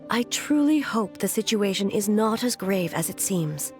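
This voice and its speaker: A woman voicing a dignified, solemn noblewoman in a video game, speaking in a formal, measured and composed way. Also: mid-range voice, animated, natural voice. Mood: grave. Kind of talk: video game character acting. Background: background music.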